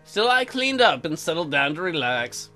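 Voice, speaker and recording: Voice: mid-range voice, very animated, normal speed, bright, forceful tone, soft background music. A let's play host reading game text aloud and breaking in with sarcastic, crude joking commentary.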